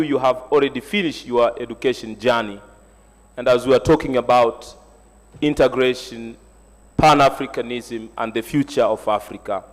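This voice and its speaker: A man from Tanzania, a Swahili speaker, giving an earnest, reflective panel speech at a university symposium. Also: mid-range voice. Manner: motivational, reflective, personal storytelling. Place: large university hall. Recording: echoey hall reverb.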